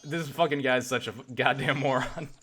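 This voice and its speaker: A young man with a bright, forceful voice hosting a let's play. He talks casually but emphatically, sharing strong opinions and swearing freely. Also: medium pitch, fairly even intonation, brisk, slight background noise. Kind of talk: casual gaming commentary.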